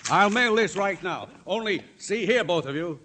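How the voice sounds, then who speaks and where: An actor playing a pompous, domineering, decisive banker and civic leader in a 1950s radio drama. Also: male voice, high-pitched, expressive, normal speed, a crowd in the background. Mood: commanding.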